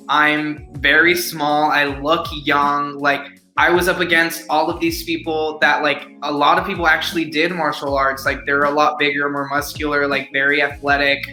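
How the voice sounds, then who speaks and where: A man's voice: a little high, pushed and bright. A young adult man chatting eagerly as a guest actor in a casual interview, with lots of "like" in his speech. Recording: echoey room.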